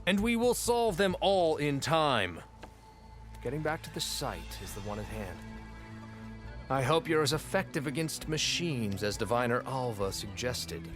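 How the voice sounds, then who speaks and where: An actor voicing a pompous, commanding ruler of a seafaring tribe in a video game, speaking with grandiose authority. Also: male voice, mid-range voice, lively intonation, measured pace, a bit bright, faint music behind. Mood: imperious. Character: imperious, grandiose male chief executive of a seafaring tribe. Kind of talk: dramatic video game acting.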